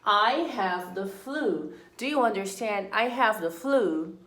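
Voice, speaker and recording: A woman's voice: medium pitch, lively intonation, slow pace, fairly bright tone. A woman teaching an English grammar lesson, patiently repeating example sentences and explaining each one. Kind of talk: slow, deliberate classroom explanation. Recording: echoey room.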